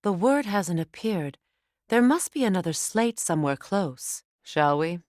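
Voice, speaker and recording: An actress playing a composed warrior goddess in a video game, speaking in an earnest, formal manner. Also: female voice, lowish voice, expressive, unhurried, darkish tone, no room sound, studio quality. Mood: measured, serious. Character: grave, composed warrior goddess, female companion in battle. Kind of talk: dramatic video game dialogue.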